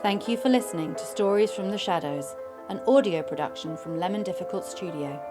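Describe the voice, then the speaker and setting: A woman's voice: fairly low pitch, fairly quick. A woman reading a scripted podcast outro and promotion as an announcer. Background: music.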